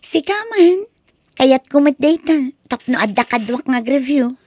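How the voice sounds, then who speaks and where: A woman's voice: high-pitched, expressive, moderate pace, darkish tone, dry recording. A woman playing a meek, dutiful young college student and daughter in an Ilocano drama. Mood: friendly, coy. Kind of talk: Ilocano radio-style drama acting.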